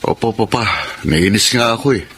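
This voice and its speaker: A man's voice: fairly low pitch, lively intonation, fairly quick, fairly bright tone. An actor playing a young Filipino husband in a Tagalog radio drama, easily vexed yet deferential to his father. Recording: faint background noise.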